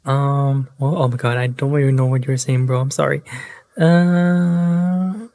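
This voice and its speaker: A man's voice: medium pitch, steady tone, measured pace, warm tone. A man giving casual, rambling, hesitant commentary as he plays through a game, with slangy phrasing. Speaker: game streamer.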